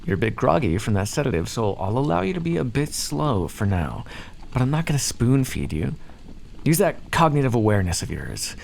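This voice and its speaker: A man's voice: medium pitch, big pitch swings, a little fast, gravelly, darkish tone, a little hiss or hum. A man voicing a smug, playfully menacing vampire captor in an ASMR roleplay, speaking to the listener in a theatrical, condescending and teasing way. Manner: condescending, theatrical, teasing drawl with pet names.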